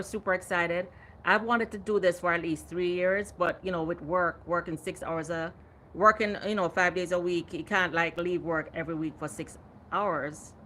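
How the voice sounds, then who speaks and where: A woman's voice: on the low side, a bit bright, slight background noise. A woman in her fifties hosting a casual gardening livestream, chatting animatedly and reading out viewer comments. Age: 50s. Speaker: gardening channel host.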